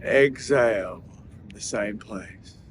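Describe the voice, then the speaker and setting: A man leading a guided breathing meditation in a soothing, gentle manner, leaving long pauses between phrases. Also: on the low side, background noise.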